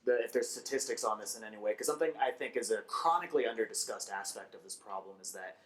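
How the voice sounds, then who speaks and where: A man's voice: lowish voice, fast. A man offering an unscripted comment in a discussion, phrased in a tentative, hedging way.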